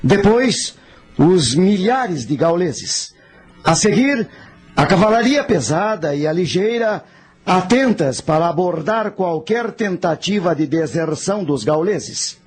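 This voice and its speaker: A confident Carthaginian war leader, played by a male radio actor. His voice sits a little high and swings widely in pitch as he gives deliberate, commanding orations to his army. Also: expressive, crowd noise. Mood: confident, resolute. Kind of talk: radio drama acting.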